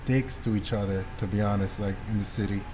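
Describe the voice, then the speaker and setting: A man's voice: lowish voice, fairly even intonation, quick pace, over music. A man hosting a casual podcast, talking in a streetwise, anecdotal way with some mild swearing. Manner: streetwise, mildly profane, anecdotal. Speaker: podcast host.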